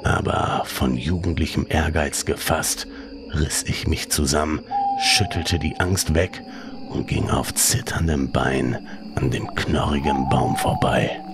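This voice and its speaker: A man reads a first-person horror tale in a very deep, creaky voice. He takes his time, measured and suspenseful, letting phrases sink into breathy hush. The delivery is slow and scripted. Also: steady tone, music in the background. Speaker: horror story narrator.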